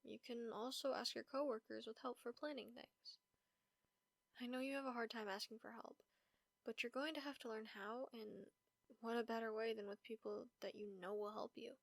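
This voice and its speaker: A woman playing a caring vampire roommate in a comfort audio roleplay, speaking softly, gently and reassuringly. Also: a little high, steady tone, moderate pace, vocal fry, no room sound. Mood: soothing. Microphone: close, dry microphone.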